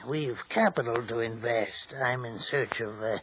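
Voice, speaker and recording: A man's voice: mid-range voice, natural voice. A man playing a gloating old crime boss. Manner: gloating, chuckling, slow and deliberate. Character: scheming older male crime boss. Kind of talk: 1940s radio acting. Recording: dull old radio broadcast with faint hiss.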